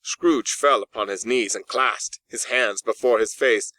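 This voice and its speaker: A man's voice: lowish voice, measured pace, bright, forceful tone, no room sound. A man narrating a literary story from a script as an audiobook narrator. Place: in-house recording, dry.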